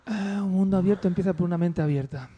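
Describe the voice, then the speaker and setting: A man's voice: sits high, fast talker, mellow. A man co-hosting a radio programme in a casual, chatty style. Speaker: radio show co-host.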